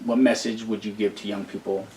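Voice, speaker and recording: A man's voice: lowish voice, little pitch movement, brisk, distant mic, background noise. Laid-back man hosting, a bit off-mic. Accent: American. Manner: laid-back, encouraging, many "uh" fillers. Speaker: interview host. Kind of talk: casual interview.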